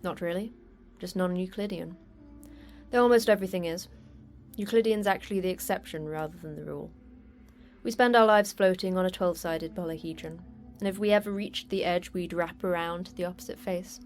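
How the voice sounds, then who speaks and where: An actress playing a terse, unflappable manager of a secret facility in an audio drama, with a brisk, dry delivery. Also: female voice, fairly low pitch, darkish tone, faint music behind, lightly acted. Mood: dry, deadpan.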